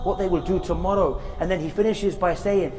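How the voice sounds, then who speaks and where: A man with a high voice delivers an earnest, unhurried sermon outdoors in a graveyard. His words trail into a long reverb, and faint music plays underneath. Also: steady tone. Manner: earnest, slow, with Arabic recitation chanted. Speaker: preacher giving a short reminder.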